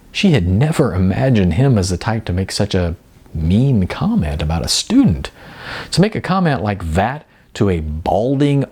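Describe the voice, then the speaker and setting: A man narrating a story in an expressive storytelling style. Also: fairly low pitch, husky.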